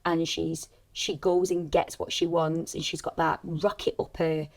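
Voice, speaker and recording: A woman's voice: deep voice, level tone, measured pace. A woman, an actress, talking casually in a podcast conversation.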